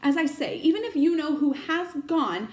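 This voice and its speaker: A woman reads aloud as a stern older witch professor from a children's storybook. The voice is high and emphatic, exasperated and quick to falter with worry. The room around it is very echoey. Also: lively intonation. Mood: exasperated, urgent.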